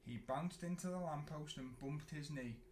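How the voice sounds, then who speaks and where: A man with a slightly high voice reads a storybook to children. He is expressive, stretching words and pausing for drama. The recording is roomy and echoey, with some noise. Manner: animated, dramatic pauses and drawn-out words. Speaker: children's entertainer reading a storybook. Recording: roomy echo, clear background noise.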